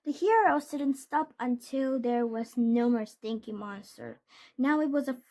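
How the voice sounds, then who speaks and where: A woman reading a children's storybook aloud in a deliberate way, with occasional stumbles. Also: sits high, a little mellow. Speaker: storybook reader.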